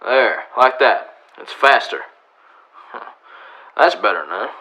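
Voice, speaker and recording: Western radio lawman, male actor: mid-range voice, laconic, dry, unhurried, calm with steel in it. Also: mid-range voice, background noise. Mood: calm, matter-of-fact. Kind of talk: western radio drama acting.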